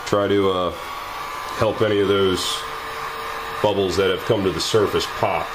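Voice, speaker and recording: A man's voice: deep voice, fairly even intonation, normal speed, background noise. A man hosting a maker channel, chatting and joking casually as he works in his garage workshop. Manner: joking, exclaiming and thinking aloud while working.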